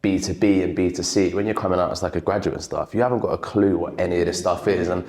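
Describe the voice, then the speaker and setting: A man with a very low voice talks freely as an interview guest. He swears casually and laughs easily. The room around him sounds echoey. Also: steady tone, fast talker, darkish tone. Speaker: guest, creative director.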